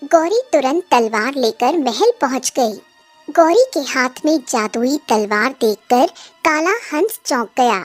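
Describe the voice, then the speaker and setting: High-pitched woman narrating a children's cartoon. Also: animated, unhurried, faint music behind. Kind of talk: scripted children's cartoon storytelling, also voicing dialogue.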